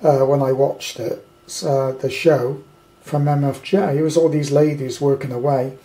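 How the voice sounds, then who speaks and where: A man's voice: medium pitch, flat delivery, measured pace, mellow. A British man giving a casual, off-the-cuff hobbyist review in a rambling, blunt, colloquial way. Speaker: amateur radio hobbyist reviewing a kit. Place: indoors at a tabletop.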